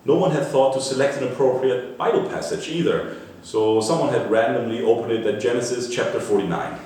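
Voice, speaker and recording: A man reading a scripted popular history narrative aloud in a measured way, like an author presenting an excerpt, with an occasional stumble. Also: medium pitch, darkish tone. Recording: echoey room, distant mic, faint noise.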